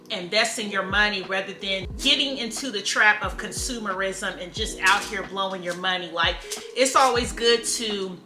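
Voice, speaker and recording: A woman's voice: medium pitch, bright, forceful tone. Candid vlogger woman in her thirties, chatty and emphatic, roomy sound. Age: 30s. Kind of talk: casual motivational talk to camera. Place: room at home. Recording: echoey room with faint music.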